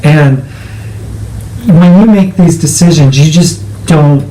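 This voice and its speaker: A man's voice: a little high, takes it slowly, dark tone, rough recording. A man co-hosting a personal conversation, talking reflectively and often searching for his words. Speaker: co-host.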